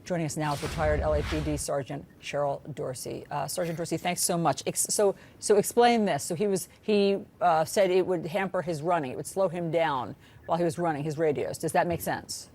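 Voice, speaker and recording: A woman news anchor reading a report and putting measured questions to a guest. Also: low voice, darkish tone.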